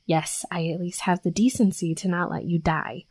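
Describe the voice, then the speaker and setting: A woman's voice: on the low side, steady tone, normal speed, a little mellow, no echo, close to an everyday voice. A young woman playing a brash, sweary tsundere werewolf bully who scolds with grudging protectiveness, in a scripted audio roleplay. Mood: alarmed, scolding. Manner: scolding, sarcastic, sweary, exasperated. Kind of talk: scripted first-person roleplay audio acting.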